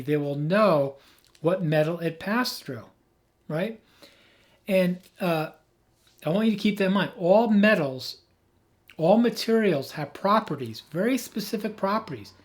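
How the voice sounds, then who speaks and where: A man who has lost his voice, hoarse, giving an emphatic, rambling off-the-cuff tech explanation as a video host. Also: on the high side. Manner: emphatic, rambling, with frequent restarts.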